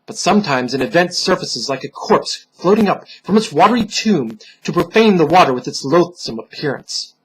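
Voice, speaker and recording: A man giving a dramatic, solemn reading of a dark fantasy story, theatrical and deliberate, with pauses for effect and a husky voice. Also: a little high, low-quality recording. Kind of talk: dramatic scripted narration.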